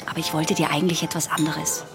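A woman's voice: deep voice, level tone, fast talker, over loud music. An actress playing an anxious teenage girl patient in a German radio play, hesitant, secretive and intense. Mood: confiding.

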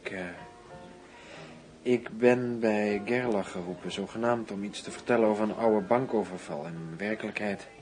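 An actor playing a discreet, concerned colleague of an undercover agent in a radio drama, speaking in a subdued, hesitant manner. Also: male voice, on the low side, fairly even intonation, moderate pace, a little mellow, soft background music, lightly acted.

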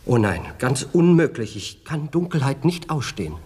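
Guy playing listless dithery gentleman, radio drama, languid medium voice, echoey hall. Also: medium pitch, big pitch swings, moderate pace, over loud music, close to an everyday voice. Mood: languid reluctance. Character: listless, reluctant gentleman, absent-minded and delicate. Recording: reverberant room.

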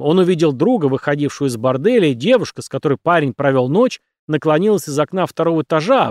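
A loud, commanding beggar captain, as a male audiobook reader puts him on, pitched up high and full of swagger. He talks in lively, sweeping rises and falls, all of it sounding dry and close to the mic. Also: dry recording. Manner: loud, lively, holding court.

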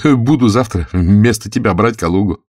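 A man's voice: on the low side, normal speed, darkish tone, dry recording, clean studio sound, voice pushed up, natural voice. A man voicing a mocking, wry male war correspondent with a heavy stutter, in an audiobook. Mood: mock pompous. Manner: ironic, joking, clipped bursts.

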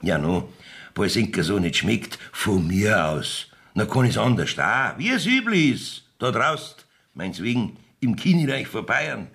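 An actor playing a blustering, evasive rustic village judge in a radio play, folksy and bossy, with a Bavarian accent. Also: male voice, fairly low pitch, very animated, measured pace, gravelly, fairly bright tone, full character voice. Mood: defiant, grumbling. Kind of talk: 1970s dialect radio play acting.

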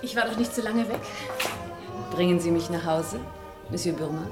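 An actress playing a sultry, world-weary femme fatale in a radio crime drama, languid and teasing, with a husky, breathy voice. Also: female voice, fairly low pitch, moderate pace, over loud music, close to an everyday voice. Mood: imperious, teasing.

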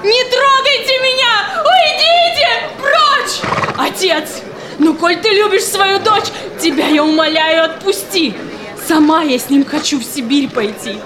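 A woman's voice: extremely high pitch, unhurried, a loud crowd, very noisy. An actress playing a passionate young woman in love who defies her father, pleading in theatrical verse. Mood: desperate pleading. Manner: impassioned, pleading, exclamatory. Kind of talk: theatrical verse drama acting.

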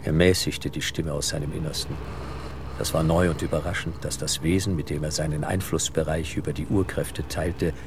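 A man narrating a dark thriller as the scripted storyteller of an audio drama. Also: low voice. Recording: clear background noise.

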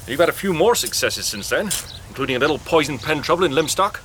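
A police inspector in a radio play. He has a bright, forceful voice with lively pitch. His manner is dry, knowing and probing. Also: quick pace, slight background noise. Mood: brisk, knowing. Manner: dry, insinuating. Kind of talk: British radio drama acting.

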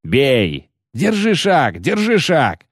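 A man playing a gruff, growling dwarf commander. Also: lowish voice, normal speed, darkish tone, no room sound, studio quality, lightly acted. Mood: roaring battle commands. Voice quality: hoarse, growling. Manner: barking, defiant, coarse. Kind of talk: audiobook character acting.

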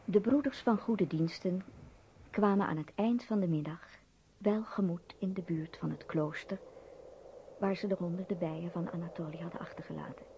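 A woman's voice: on the low side, normal speed. A woman narrating a radio drama as a scripted storyteller. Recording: dull recording with faint noise.

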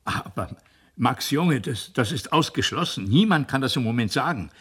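A man's voice: mid-range voice, expressive, normal speed, fairly bright tone, slightly rough. An actor playing a mature company director, an anxious, evasive father who falters, coaxes and insists in a radio drama. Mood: worried, hesitant. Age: middle-aged or older.

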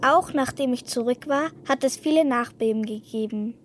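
A woman's voice: sits high, normal speed. A woman reading an earnest, anxious protester's testimony in a radio play, telling it simply. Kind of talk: scripted radio-play monologue, read testimony.

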